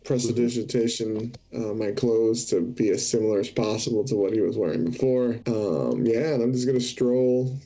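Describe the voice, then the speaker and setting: A man's voice: on the low side, normal speed, hardly any pauses, warm tone. A man playing a tabletop game and chatting casually about his moves, on a muffled recording. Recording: dull, muffled sound.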